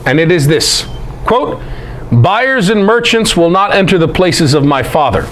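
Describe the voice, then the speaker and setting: A man teaches scripture like a lively lecturer, in a high voice that swings widely in pitch. He is emphatic and conversational, posing rhetorical questions and dropping folksy asides. Noticeable noise sits behind him. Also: measured pace, poor audio. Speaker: presenter and Bible teacher. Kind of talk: animated lecture on scripture scholarship.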